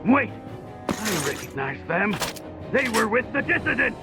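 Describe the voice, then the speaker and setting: High, creaky, barking hostile guard. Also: male voice, big pitch swings, measured pace, over loud music, heavily acted. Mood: hostile, alarmed. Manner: barking, confrontational. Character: bullying, hostile male guard. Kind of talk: video game cutscene acting.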